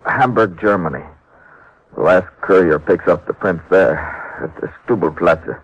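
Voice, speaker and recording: An actor playing a wounded, cooperative male thief confessing from a hospital bed, halting and subdued, in a 1950s radio drama. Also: low-pitched. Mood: weak, cooperative. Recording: muffled, dry old radio recording.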